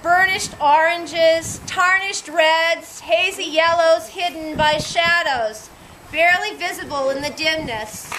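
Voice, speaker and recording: High-voiced woman reading poems slowly. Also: bright, forceful tone, a little hiss or hum, rough recording. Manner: slow, deliberate poetry reading. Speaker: poetry reader at a vigil. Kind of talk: reading her poems aloud. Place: outdoor gazebo at night.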